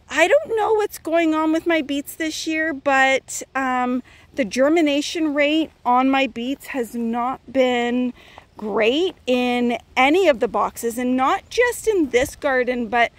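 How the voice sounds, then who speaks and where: A middle-aged woman with a slightly high voice talks unhurriedly through a garden tour, puzzling aloud over her plants. She is outdoors and holding a phone camera, and the sound is close and dry. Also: dry recording. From Canada. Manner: chatty, thinking aloud, puzzled. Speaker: garden vlogger. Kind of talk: casual walkthrough narration. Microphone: phone camera held while walking.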